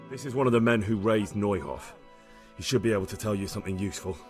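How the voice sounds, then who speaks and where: A stubborn young medieval adventurer played by a male actor speaks in a lowish voice with earnest resolve. It is dramatic game cutscene dialogue, with faint music underneath. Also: fast talker, lightly acted. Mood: matter-of-fact. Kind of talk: video game cutscene acting.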